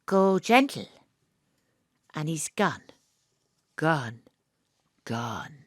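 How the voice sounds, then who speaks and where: A woman's voice: low-pitched, very animated, extremely slow, dry recording, clean studio sound, full character voice. A woman acting an eccentric amateur detective who narrates her investigation in an audio drama, theatrically and with dramatic repetition. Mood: urgent, scheming. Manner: theatrical, breathless self-talk with dramatic repetition. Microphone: close home studio microphone.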